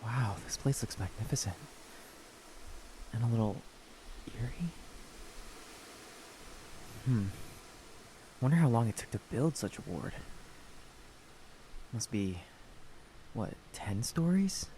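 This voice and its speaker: A man's voice: medium pitch, lively intonation, takes it slowly, long pauses, dark tone, slight background noise. A man playing a nervous, easily spooked newcomer who mutters to himself in a radio drama. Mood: awed, uneasy.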